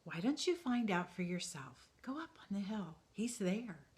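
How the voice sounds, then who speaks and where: A woman voicing a calm, self-assured little wooden girl in a children's story. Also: medium pitch, measured pace. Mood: breezy.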